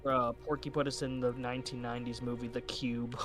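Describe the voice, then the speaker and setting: A man's voice: medium pitch, little pitch movement, brisk, fairly bright tone, soft background music. A man chatting casually as a player in a tabletop roleplaying game.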